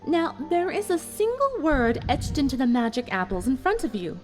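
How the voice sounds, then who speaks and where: Smug villain mare played by a woman, high-pitched and expressive, taunting with mock politeness, for an audio fan drama. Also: faint music behind. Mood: smugly taunting. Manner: measured, mock-apologetic, taunting. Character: smug, cruel female pony villain running a deadly test.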